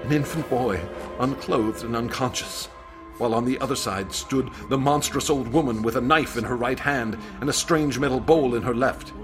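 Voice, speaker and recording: A man's voice: mid-range voice, natural voice. An actor playing a haunted young man recounting a friend's horrific death, in an intense radio drama style. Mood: grave, suspenseful. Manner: intense, halting when distressed. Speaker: narrator and lead actor. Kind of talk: dramatic radio play narration. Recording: dramatic music under the voice.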